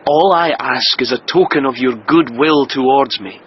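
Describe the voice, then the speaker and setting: An actor playing a handsome, lovesick young king who flatters deviously, earnest and courtly in a fairy-tale audio drama. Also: mid-range voice, normal speed, lo-fi sound, slight background noise, natural voice. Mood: courtly flattering.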